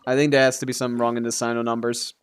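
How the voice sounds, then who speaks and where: A man's voice: mid-range voice, level tone, rapid-fire, smooth. A man giving casual, offhand live stream commentary on a game, thinking aloud as he answers chat and swearing casually.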